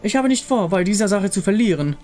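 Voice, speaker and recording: A man's voice: high-pitched, animated, fast talker, darkish tone, faint music behind. A man voicing a ruthless female pirate captain from a game, defiant, mocking and theatrical. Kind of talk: visual novel character read-aloud.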